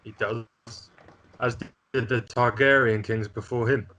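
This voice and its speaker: A man's voice: medium pitch, steady tone, measured pace, bright, forceful tone. A man playing a stern, grave lord and father in an amateur script table read.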